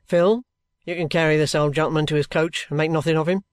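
A man's voice: a little high, fairly even intonation, fast, dry recording, studio quality, natural voice. A man voicing a stiff, grave, guarded trooper in a storybook reading. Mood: brisk, decided. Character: stiff, grave, wary male ex-soldier trooper.

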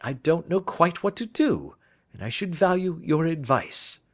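A man's voice: a little high, big pitch swings, measured pace, pitched above the performer's own voice. A man reading the part of a brilliant Victorian detective, who lectures in a precise, emphatic way. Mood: lecturing.

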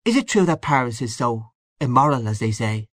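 A man's voice: mid-range voice, big pitch swings, a little fast, no echo, clean studio sound, close to an everyday voice. A man voicing a timid, earnest, naive small Irish man in an audiobook, speaking with polite insistence. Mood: timid, curious. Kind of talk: literary audiobook character dialogue.

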